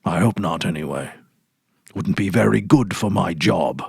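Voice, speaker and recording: Man voicing a weary, curt husband, low voice. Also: moderate pace, creaky, darkish tone, slightly rough, no room sound, clean studio sound, heavily acted. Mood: grave, awkward. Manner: terse, brusque. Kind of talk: dramatic short story reading.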